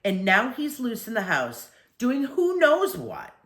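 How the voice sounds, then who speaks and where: A woman's voice: a little high, wildly swooping pitch, takes it slowly, a bit bright, roomy sound, pitched above the performer's own voice, full character voice. An older woman voicing an exasperated, no-nonsense storybook mother in an emphatic, grumbling manner.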